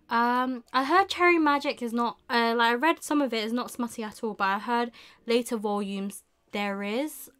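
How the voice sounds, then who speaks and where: A young woman hosting a casual live stream, thinking aloud in an unhurried way with murmured asides. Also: mid-range voice, fairly bright tone, clean tone. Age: young adult. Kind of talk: casual chat while shopping online. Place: small indoor room with bookshelves.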